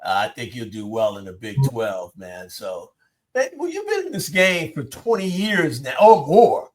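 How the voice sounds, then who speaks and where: A man's voice: mid-range voice, big pitch swings, unhurried, crowd noise. A man hosting a podcast interview, chatting in a friendly, joking way and laughing easily. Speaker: podcast host. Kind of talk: casual interview chat.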